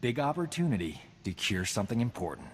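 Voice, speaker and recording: A man's voice: medium pitch, very animated, fast, in a large hall, slight background noise. An actor playing a cocky, womanizing human doctor who boasts and wisecracks in a video game. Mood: eager. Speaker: companion character. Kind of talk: video game dialogue acting.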